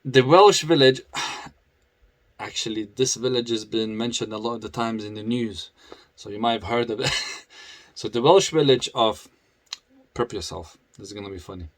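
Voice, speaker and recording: A man's voice: mid-range voice, normal speed, pauses often, dry recording. Male motorcycle vlogger with a foreign accent, casual, laughs a lot, restarts sentences, dry sound. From grew up in the UK. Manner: chatty, laughs often, many restarts. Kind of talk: casual talk to camera.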